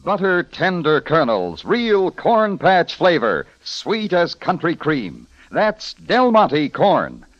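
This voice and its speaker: Lively vintage radio announcer, male. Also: a little high, slow pace, few pauses. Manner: polished, salesmanlike. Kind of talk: 1940s-50s radio advertising and credits announcing. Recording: lo-fi vintage radio, music behind.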